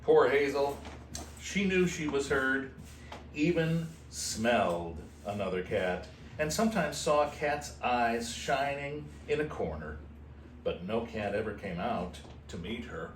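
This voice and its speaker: A man reading a children's storybook aloud expressively, in a playful manner with casual asides. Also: mid-range voice. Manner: unhurried, animated, playful. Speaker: host reading a picture book aloud to shelter kittens. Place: indoor room at an animal shelter. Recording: roomy, distant mic with faint noise.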